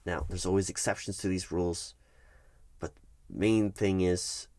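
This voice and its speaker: A man playing a detached, patronizing corporate HR manager in a one-sided ASMR roleplay, speaking in a halting, measured, bureaucratic way. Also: sits low, fairly even intonation, mellow, no echo. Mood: firm, officious. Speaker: human resources manager.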